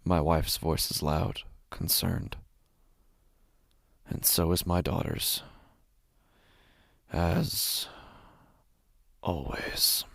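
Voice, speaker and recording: An actor playing an anguished, exhausted father in a first-person horror audio drama, speaking in halting, breathy phrases that often sink toward a whisper. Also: deep voice, fairly even intonation, a bit bright, dry recording, studio quality, exaggerated voice. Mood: weary, resigned. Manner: halting, broken phrases with long pauses. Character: anguished, exhausted, devoted father haunted by dread.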